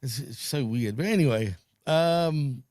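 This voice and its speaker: A man's voice: medium pitch, measured pace, a little mellow, no room sound, clean studio sound. A man hosting a casual wrestling podcast, laid-back, laughing often and hedging with fillers. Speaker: podcast host.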